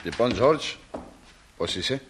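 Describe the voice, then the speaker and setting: A man playing a composed, mildly stern middle-aged father of the bride in a radio play, speaking in a measured way. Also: medium pitch, animated, noisy background, natural voice.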